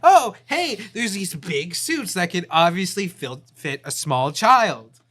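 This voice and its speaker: A man with a fairly high voice co-hosts a relaxed discussion about films. He talks in a hesitant way and often lets sentences trail off. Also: slow pace, soft background music. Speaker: podcast co-host. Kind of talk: casual movie discussion.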